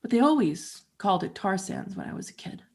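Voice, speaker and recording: A middle-aged woman from Canada, performing a theatrical storytelling monologue in a wry, conversational, expressive way. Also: medium pitch, a little fast, gravelly.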